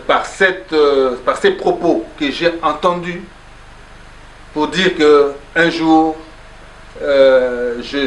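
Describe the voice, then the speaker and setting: A man's voice: on the high side, a bit bright. A man arguing insistently in a debate, mixing French and Lingala, often cutting in with repeated "no, no". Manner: insistent, interrupts with repeated "non, non". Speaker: pastor in a debate. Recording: echoey room with faint background noise.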